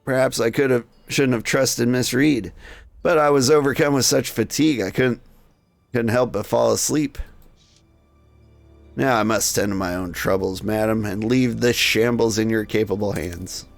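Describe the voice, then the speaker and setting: A man's voice: mid-range voice, steady tone, moderate pace, vocal fry, no room sound, faint music behind, lightly acted. A man voicing a brooding, courteous Victorian minotaur with formal, wordy, old-fashioned speech in a game story. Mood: aggrieved. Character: brooding male minotaur gentleman detective, courteous Victorian drunkard.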